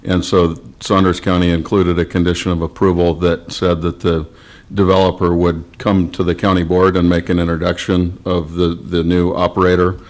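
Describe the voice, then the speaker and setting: Very deep, flat-voiced man. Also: moderate pace. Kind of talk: testimony at a public hearing. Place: county board meeting room.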